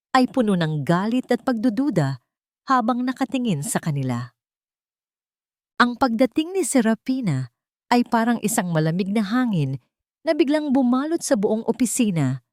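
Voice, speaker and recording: Casting: female storyteller for dramatic romance narration, slightly low pitch, very dry studio-like sound. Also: darkish tone, no echo.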